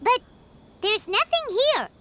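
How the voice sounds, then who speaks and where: A woman voicing a tiny, excitable but timid childlike fairy companion in a video game, squeaky and exclamatory. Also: slow, heavy background noise, exaggerated voice. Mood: curious, uneasy. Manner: exclamatory, squeaky, refers to self in third person.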